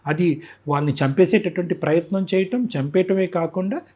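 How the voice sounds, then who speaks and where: A man with a Telugu accent and a husky voice, explaining his points emphatically as an expert guest in a conversational interview. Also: high-pitched, a little fast, warm tone. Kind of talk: conversational interview commentary mixing Telugu and English.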